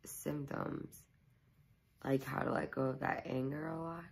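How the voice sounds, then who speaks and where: A young woman, hoarse, chatting casually to her camera at home and rambling with many ums and likes. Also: very deep voice, steady tone, measured pace. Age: young adult. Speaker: YouTuber. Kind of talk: casual vlog chat to camera. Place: small living room at home, indoors.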